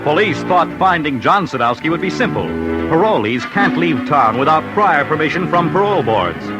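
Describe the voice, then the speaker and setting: A man's voice: on the high side, lively intonation, moderate pace, dull audio. A man narrating a scripted 1940s radio crime series in an urgent, punchy, dramatic manner. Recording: old radio broadcast over music.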